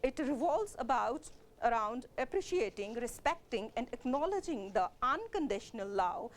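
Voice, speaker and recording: A woman's voice: fairly high pitch, very animated, moderate pace. A woman with a Kashmiri accent giving a literature lecture as a college lecturer, explaining steadily with frequent fillers and self-corrections.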